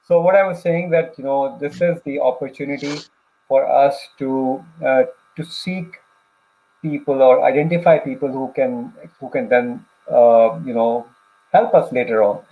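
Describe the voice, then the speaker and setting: A man co-hosting a conversational careers livestream, speaking in a halting way and repeating points for emphasis over a video call. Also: mid-range voice, fairly even intonation, phone-quality sound. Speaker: co-host of a career advice livestream for immigrants.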